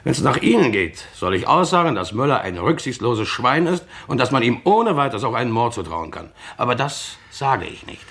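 Blunt, creaky-voiced factory worker, animated. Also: male voice, medium pitch, moderate pace, few pauses. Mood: bitter, stubborn. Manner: earthy, coarse, argumentative. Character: defiant, blunt male factory worker, gruff and plain-spoken. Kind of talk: German radio crime drama acting.

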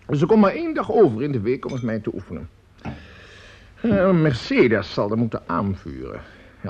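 Blustery creaky tippling father, wildly swinging pitch. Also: a little mellow, exaggerated voice. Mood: grumbling. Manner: rambling, halting, prone to mutter and swing up. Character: tippling, blustery father and music teacher, middle-aged or older. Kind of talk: radio play acting. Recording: dull, noisy radio play recording.

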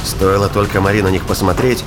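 A man's voice: low voice, steady tone, brisk. A man reading scripted, dramatic narration as the narrator of a science fiction thriller audio drama. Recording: loud music and effects under voice. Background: music with sound effects.

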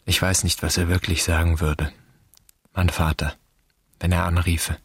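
A grieving, bitter son in a literary radio play. His voice is extremely low and husky. He speaks in deliberate, poetic, accusing questions, brooding over an absent father. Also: little pitch movement, heavily acted. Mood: melancholy, reflective. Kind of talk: literary radio drama monologue.

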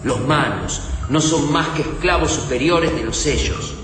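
A man's voice: on the high side, expressive, unhurried, background noise. Radio drama role: determined Argentine man, declamatory delivery, drenched in hall reverb. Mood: pressing, accusing. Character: resolute human man confronting an alien enemy. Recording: heavily echoing hall, music behind.

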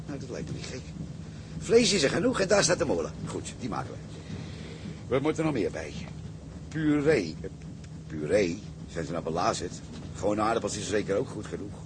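An actor playing a cocky, cheerful sailor turned ship's cook, breezy and slangy, often muttering to himself. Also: male voice, on the low side, sing-song, normal speed, pauses often, background noise. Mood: muttering, improvising. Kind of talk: comic seafaring radio drama acting.

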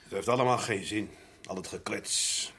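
A gruff, cynical working-class father. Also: lowish voice, normal speed, pushed and bright, a little hiss or hum. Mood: weary, reluctant. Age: middle-aged. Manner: curt, sarcastic, grumbling. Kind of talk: naturalistic Dutch radio drama acting.

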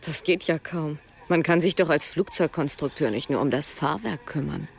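A woman's voice: deep voice, moderate pace, vocal fry, slight background noise. An actress playing a worldly, self-assured and provocative woman in a German radio drama. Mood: teasing. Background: music behind the voice.

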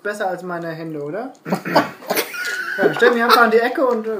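A woman's voice: mid-range voice, expressive, moderate pace, recorded from a distance. A woman chatting casually as a player in a tabletop role-playing game. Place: indoor room at a game table. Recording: off-mic with loud background noise.

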